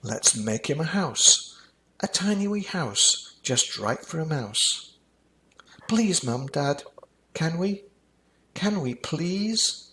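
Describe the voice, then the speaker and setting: Older guy doing an eager kind kid in a picture book, pitched up, bright, kinda pleading. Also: animated, slow, pauses often, slight background noise, heavily acted. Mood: excited. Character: earnest, kind-hearted child befriending a mouse. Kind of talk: rhyming children's storybook reading.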